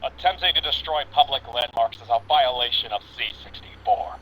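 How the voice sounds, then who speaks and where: Sharp, forceful policeman played by a man. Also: mid-range voice, brisk, slight background noise, natural voice, rough recording. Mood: stern. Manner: curt, accusatory. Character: stern, officious male police officer on intercom. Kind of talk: video game dialogue.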